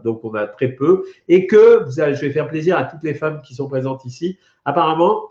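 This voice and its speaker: A man's voice: fairly high pitch, darkish tone. A middle-aged man with a French accent who is a doctor, chatting on a live stream with a head cold, explaining things with many hesitations. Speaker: doctor hosting a weight-loss live stream. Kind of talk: casual live stream talk answering viewer questions. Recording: roomy, dull lo-fi stream audio.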